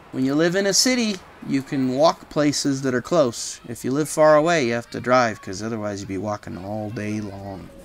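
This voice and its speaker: A woman reads a children's story aloud with a very lively, swinging pitch. She chats through casual asides and explains the pictures as she goes, with noticeable noise in the background.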